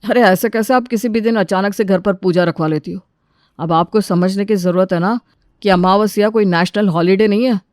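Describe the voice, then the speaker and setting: A woman's voice: mid-range voice, normal speed, darkish tone, no room sound, studio quality, pitched below the performer's own voice, natural voice. Grown son played by a woman, fed up, complaining, reluctant, mid-range, for family moral story. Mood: exasperated. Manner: complaining, protesting. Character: exasperated adult son, reluctant working man. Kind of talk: acted dialogue in a moral story.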